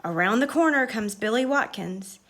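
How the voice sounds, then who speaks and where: A woman's voice: on the high side, animated, moderate pace, a bit bright. A woman voicing an earnest young boy who talks like a solemn, pompous sports commentator in a storybook.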